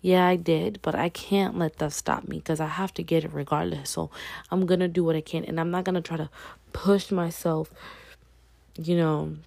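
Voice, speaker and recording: Young woman, twenties, low flat voice with some fry; casual encouraging vlog talk, repeats herself for emphasis. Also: normal speed. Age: 20s. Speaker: vlogger. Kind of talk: casual motivational talk to camera.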